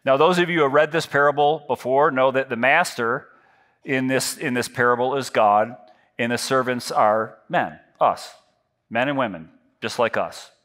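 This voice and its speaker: A man's voice: medium pitch, moderate pace, a bit bright. Conversational pastor in his fifties. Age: 50s. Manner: conversational, self-deprecating, frequent restarts and repetitions. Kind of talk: sermon. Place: large church stage with lit backdrop. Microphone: headset mic.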